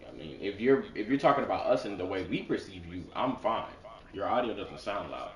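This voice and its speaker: A man's voice: deep voice, lively intonation, brisk, echoey room, recorded from a distance. A man in a casual online chat, patiently explaining mic settings and repeating himself. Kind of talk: casual chat giving audio advice. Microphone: gaming headset.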